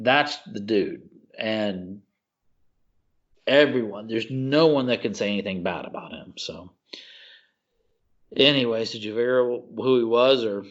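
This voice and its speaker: A man co-hosting a podcast in casual chat, gushing and excitable, calling his friend "dude.". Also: mid-range voice, muffled recording. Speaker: podcast co-host.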